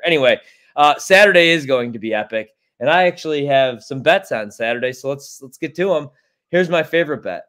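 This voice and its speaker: A man's voice: mid-range voice, a bit bright. Blunt, rambling American man talking sports. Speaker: guest sports betting analyst. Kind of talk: casual sports podcast chat. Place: home kitchen, on a video call.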